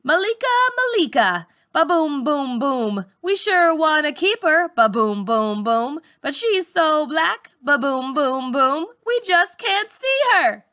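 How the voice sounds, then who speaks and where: A woman's voice: high-pitched, sing-song, slow, hardly any pauses, bright, forceful tone, pitched above the performer's own voice, heavily acted. A woman voicing a mocking thirteen-year-old schoolboy bully from a storybook, jeering and taunting. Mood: gleeful taunting. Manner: taunting, sing-song jeering.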